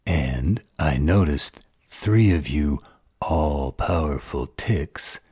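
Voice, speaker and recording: A man voicing a gruff, resentful, defiant werewolf in a roleplay audio drama, gravelly, raspy and blunt. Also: extremely low pitch, a little mellow, dry recording, clean studio sound, full character voice.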